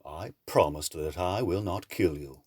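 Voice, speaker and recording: A man's voice: low-pitched, unhurried, a little mellow, husky, dry recording, clean studio sound. A man playing a suave, menacing villain in an audio drama, mannered, condescending and mockingly polite. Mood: scornful.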